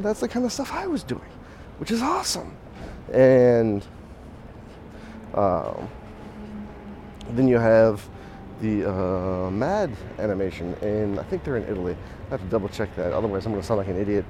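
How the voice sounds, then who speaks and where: A hoarse man hosting a podcast, talking casually while walking outdoors, rambling with restarts and small chuckles. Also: lowish voice. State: hoarse, voice mostly shot. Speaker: podcast host. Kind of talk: casual walk-and-talk monologue. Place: outdoors on a city street. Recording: faint outdoor street noise. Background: street and traffic noise.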